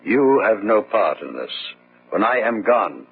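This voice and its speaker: An actor playing the Red Death, a pitiless, solemn judge who pronounces doom in slow, commanding phrases in a gothic radio drama. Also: male voice, fairly low pitch, big pitch swings, vocal fry, breathy edge, exaggerated voice. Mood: stern, commanding. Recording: muffled, noisy old radio drama recording.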